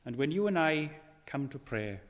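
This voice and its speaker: A man preaching a sermon, slow and weighty, his voice dropping low and quiet for emphasis. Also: big pitch swings. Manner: slow, weighty preaching with dramatic drops in volume. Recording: long hall reverb.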